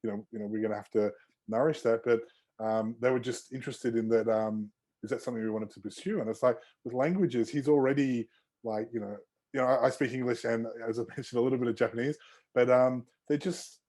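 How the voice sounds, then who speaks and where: A man with a mellow, relaxed tone and a mid-range pitch, speaking as an interview guest. He rambles in a friendly way, circling back on himself with plenty of fillers and restarts. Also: brisk. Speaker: osteopath guest. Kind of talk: casual interview chat.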